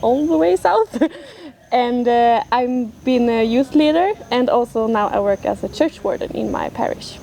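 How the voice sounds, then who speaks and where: Gravelly-voiced Swedish church warden woman. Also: a little high, lively intonation, a little slow, dark tone, slight background noise. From southern Sweden.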